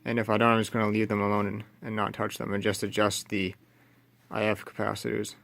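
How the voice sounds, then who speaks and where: A man's voice: fairly low pitch, completely flat delivery, fairly quick, vocal fry. A man giving casual hands-on repair narration, thinking aloud as he works at an indoor bench. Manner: thinking aloud while working. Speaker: radio repair hobbyist presenting. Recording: loud background noise and electrical hum. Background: loud noise, hum.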